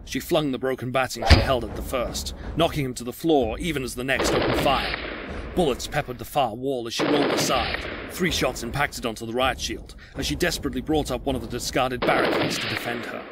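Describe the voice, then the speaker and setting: A man's voice: mid-range voice, fairly quick, very noisy. A man reading dramatic scripted action narration as the narrator of an audio drama. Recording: loud music and gunfire behind.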